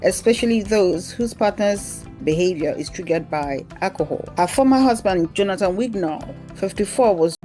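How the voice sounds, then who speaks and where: A woman's voice: mid-range voice, expressive, normal speed, few pauses, warm tone, faint music behind. A woman narrating a scripted news article aloud as a newsreel presenter.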